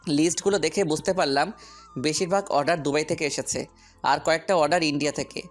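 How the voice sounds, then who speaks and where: A man's voice: a little high, steady tone, a little fast, soft background music, lightly acted. A man playing a determined businessman hero in an audio story, speaking firmly and with purpose. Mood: purposeful. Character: determined businessman hero, commanding boss, loyal friend.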